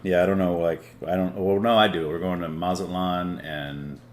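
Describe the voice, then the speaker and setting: A man hosting a podcast in casual chat, laid-back and easily excited, with lots of casual slang. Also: low voice, normal speed, slight background noise. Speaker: podcast host.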